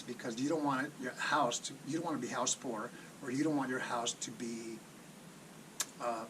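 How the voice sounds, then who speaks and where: Recorded at some distance from the mic with clear background noise, a man hosts a casual video. His voice sits at a medium pitch. He comments off the cuff, often repeating himself and asking rhetorical questions before offering plain advice. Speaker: personal finance video host.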